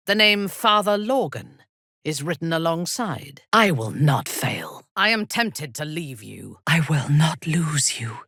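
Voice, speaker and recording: An actress playing a haughty, ruthless female drow elf in a fantasy video game, speaking in a low, husky, measured and coldly menacing voice. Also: fairly bright tone, dry recording, clean studio sound, heavily acted. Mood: solemn intensity. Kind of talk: scripted fantasy game voice acting.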